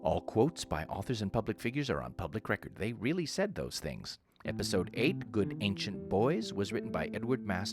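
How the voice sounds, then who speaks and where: Lively low-voiced man announcing. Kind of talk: scripted audio play credits. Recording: very loud guitar music behind.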